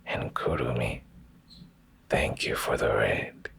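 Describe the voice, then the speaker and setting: A man with a rock-bottom, heavily fried voice reads in a hushed bedtime ASMR style. His delivery is unhurried and drawn out, with soft, soothing phrasing right up against the microphone. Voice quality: very deep, gravelly, breathy near-whisper. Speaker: ASMR streamer and bedtime story reader. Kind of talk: ASMR, slow soft bedtime story reading. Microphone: close ASMR microphone.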